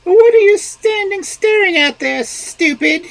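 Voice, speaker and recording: A voice performing a nagging old peasant wife in a storybook reading, with a shrill, exclamatory manner. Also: female voice, lively intonation, unhurried, creaky, no room sound, exaggerated voice. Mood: scolding.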